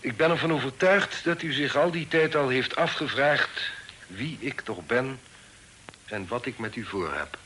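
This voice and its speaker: An actor playing a secretive, authoritative middle-aged father in hiding in a period radio drama, speaking formally and deliberately. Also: medium pitch, bright, forceful tone. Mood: measured, courteous. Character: secretive, authoritative fugitive father, stern and shrewd. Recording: noisy old radio drama recording.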